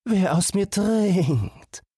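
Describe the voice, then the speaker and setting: A man voicing an enchanted forest spring in a fairy tale, chanting a warning in a slow, drawn-out manner. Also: high voice, animated, warm tone, husky, no echo, clean studio sound, pitched above the performer's own voice, heavily acted. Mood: eerie warning.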